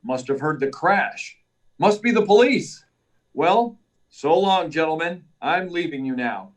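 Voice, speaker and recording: A stalwart superhero of old-time radio, played by a man, speaking in a fairly high male voice a little way from the mic. He is self-assured and declamatory, talking himself through each feat. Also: expressive, measured pace, far from the mic. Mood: coolly commanding. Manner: declamatory, self-assured, narrating his own actions. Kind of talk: 1940s radio serial acting.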